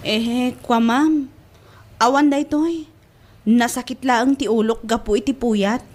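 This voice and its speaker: A lovestruck young woman as an actress plays her in an Ilocano radio-style drama. Her voice sits high, and she stretches emphatic words with feeling, sounding devoted and a little defensive. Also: fairly even intonation, takes it slowly. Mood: flustered.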